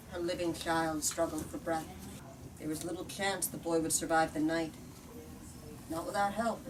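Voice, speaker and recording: Female storyteller and book-talk host, lowish voice, expressive and deliberate, recorded from a distance in a noisy room. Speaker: host of a book reading, reader. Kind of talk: dramatic storybook read-aloud with discussion. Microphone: far from the speaker.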